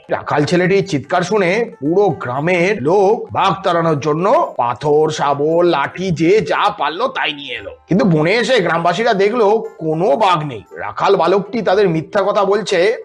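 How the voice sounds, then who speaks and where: Male narrator for children's tales: expressive, lively range, fairly high pitch. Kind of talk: scripted children's story narration.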